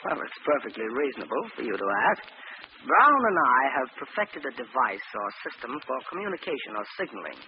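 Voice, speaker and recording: A man's voice: mid-range voice, huge pitch swings. A man acting a scheming, persuasive scientist who speaks formally and precisely in a 1940s radio drama. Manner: formal, measured, instructing. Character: smooth-talking, scheming male scientist, controlling and persuasive. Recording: muffled, noisy old radio broadcast.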